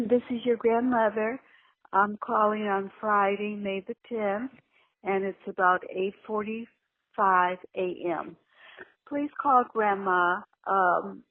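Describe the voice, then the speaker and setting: Grandmother, older, matter-of-fact and halting, slow delivery through a narrow phone line for a radio play. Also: medium pitch. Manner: halting, plainspoken, repeats details carefully. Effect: telephone voicemail. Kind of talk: voicemail message in an audio play.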